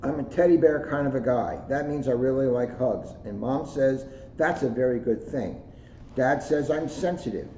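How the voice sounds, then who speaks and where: A man, a police officer, reading a children's book aloud with jokey, expressive storytelling and casual asides. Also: medium pitch, moderate pace. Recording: roomy echo, muffled audio, faint noise.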